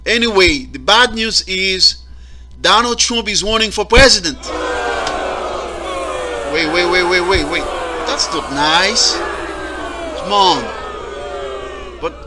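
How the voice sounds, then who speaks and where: Slow-talking man doing stand-up. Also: high voice, expressive, crowd noise. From Guatemala. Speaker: stand-up comedian. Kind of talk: stand-up comedy set. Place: live comedy stage. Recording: loud audience laughter and noise.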